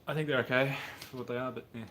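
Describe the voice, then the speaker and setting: Australian man in his thirties, laid-back rambling host, mid-pitched voice, faint workshop hum behind, webcam sound. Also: steady tone, rapid-fire, bright, forceful tone. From Brisbane, Australia. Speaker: car workshop livestream host. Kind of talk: casual livestream chat answering viewers. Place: home mechanic workshop, car on a hoist.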